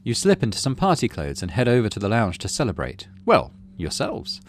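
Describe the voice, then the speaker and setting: A man's voice: lowish voice, brisk. A man hosting a gaming channel, reading game narration aloud expressively and adding casual commentary. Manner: expressive storyteller reading with asides.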